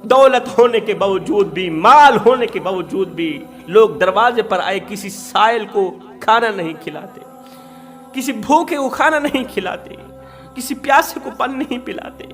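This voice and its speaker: High-voiced man preaching fervently. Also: expressive, a bit bright, soft background music. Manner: fervent, repetitive, rising emphatic cries. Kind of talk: impassioned Urdu sermon with storytelling.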